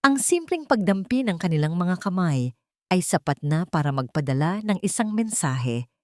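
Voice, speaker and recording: A woman's voice: lowish voice, sing-song, normal speed, a little mellow, dry recording, clean studio sound. A woman storyteller reading a scripted romance story as dramatic narration.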